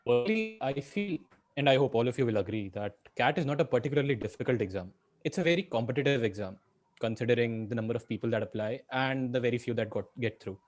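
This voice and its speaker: A man's voice: medium pitch, lively intonation, quick pace, soft background music. A man in his twenties with an Indian accent, sharing his view conversationally as a student panelist. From Delhi, India. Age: 20s. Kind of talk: conversational opinion.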